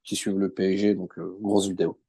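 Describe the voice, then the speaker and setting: A man's voice: deep voice, flat delivery, fast, dark tone, slightly rough, dry recording. A man giving casual football commentary as a guest pundit.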